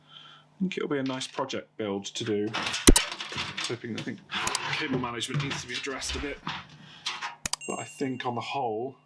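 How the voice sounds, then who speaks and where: A man with a cold and a croaky voice, hosting a casual PC repair video and talking hesitantly with many fillers while he works. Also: medium pitch, normal speed, long pauses, heavy background noise. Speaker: host of a PC repair channel. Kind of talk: casual hands-on repair talk.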